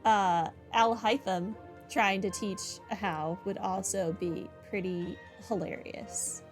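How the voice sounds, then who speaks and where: A woman's voice: mid-range voice, fairly even intonation, slow pace. A woman giving casual commentary on a game playthrough, wryly thinking aloud. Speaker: gaming streamer. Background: game music.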